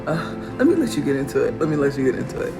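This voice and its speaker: A raspy woman vlogging casually about her own work, admiring it out loud and laughing often. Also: very deep voice, very animated, racing. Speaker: fashion designer vlogger. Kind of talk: casual show-and-tell vlog. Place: workshop in Arizona. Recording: music playing behind the voice.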